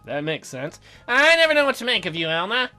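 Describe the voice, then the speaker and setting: Animated, bright-voiced man hosting a let's play. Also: high-pitched, brisk, faint music behind. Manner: theatrical, jokey. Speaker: let's play host and narrator. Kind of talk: reading a visual novel aloud with ad-libbed commentary.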